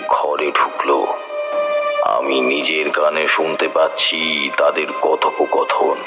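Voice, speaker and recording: A man tells a horror tale in an extremely deep, creaky voice. He moves slowly, with suspenseful pauses, over background music. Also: flat delivery. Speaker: story narrator, in first person. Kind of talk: slow dramatic horror story narration.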